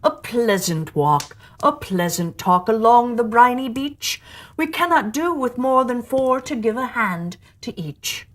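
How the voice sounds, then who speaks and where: A woman's voice: on the high side, expressive, measured pace. A woman voicing a grandly persuasive, falsely tearful male walrus for a storybook poem, with a pompous, coaxing manner.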